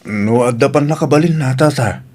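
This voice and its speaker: A man's voice: medium pitch, normal speed, darkish tone, slightly rough, close to an everyday voice. A man playing a meek, dutiful young working-class boyfriend in an Ilocano audio drama, respectful and hesitant, with an Ilocano accent. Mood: sheepish, deferential.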